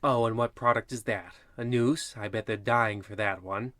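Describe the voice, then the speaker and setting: A man performs a macabre old-time radio horror host. His forceful, bright voice is theatrical yet sardonically deadpan, in a dry studio-like sound. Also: lowish voice, moderate pace, no room sound, lightly acted. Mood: sardonic. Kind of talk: parody 1940s radio horror narration.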